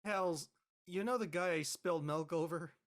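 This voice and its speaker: A man playing an exasperated, reluctant high-school boy in an amateur script reading, with a whiny, protesting manner. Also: normal speed, a bit bright, natural voice. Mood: flustered. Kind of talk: amateur audio drama table read.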